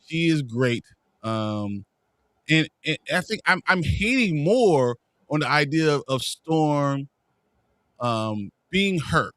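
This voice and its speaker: A man co-hosting a casual chat show, ranting with animated, heated energy. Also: on the high side, slow pace, dry recording.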